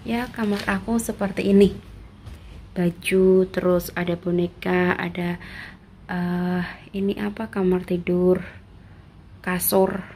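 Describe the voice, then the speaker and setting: A woman's voice: on the low side, mellow, a little hiss or hum. A young woman from Indonesia giving a casual house tour of her employer's apartment, filming on a handheld phone as she walks from room to room, often dropping to a near whisper. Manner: chatty, repeats "seven seperti ini", often drops to a whisper. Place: apartment in Taiwan, indoors. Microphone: handheld phone filming while walking.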